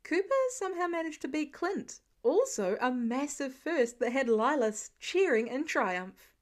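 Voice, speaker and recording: A woman with a high voice reads prose fiction aloud. Her delivery is expressive, scripted storytelling in the manner of an audiobook. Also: measured pace. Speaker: audiobook-style fan fiction reader.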